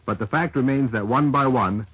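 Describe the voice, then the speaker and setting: A man reading a scripted 1940s radio news report in a measured, emphatic manner. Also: medium pitch, husky, dry recording. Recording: tinny, band-limited 1940s radio recording.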